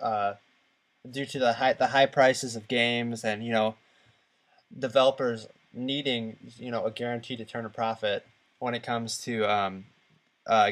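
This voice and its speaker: A man co-hosting a podcast, speaking casually and haltingly as he searches for his words. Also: mid-range voice. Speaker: podcast co-host. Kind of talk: casual discussion of video games.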